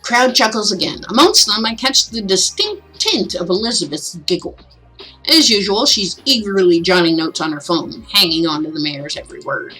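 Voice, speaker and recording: A woman with a slightly hoarse, husky voice reading a visual novel aloud, expressive with casual asides. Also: mid-range voice, moderate pace, faint music behind, poor audio. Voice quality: husky, throat not at its best.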